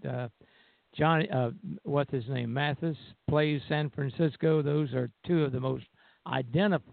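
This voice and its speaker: A man chatting casually on a radio show, halting as he searches for words. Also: on the high side, gravelly, no room sound. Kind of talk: casual chat. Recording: dry, muffled lo-fi connection.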